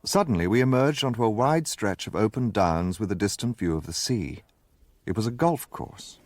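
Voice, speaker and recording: An actor playing a gentlemanly, earnest narrator, the loyal companion of a detective, in a radio drama. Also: male voice, on the low side, expressive, normal speed, no room sound, natural voice. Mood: earnest, narrating.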